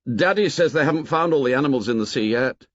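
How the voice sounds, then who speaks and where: A man's voice: mid-range voice, animated, fast, fairly bright tone, dry recording, pitched above the performer's own voice. A man voicing an eager, chatty young boy who rambles earnestly as he explains himself. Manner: rambling, earnest, then laughs gaily. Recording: dull, dry recording.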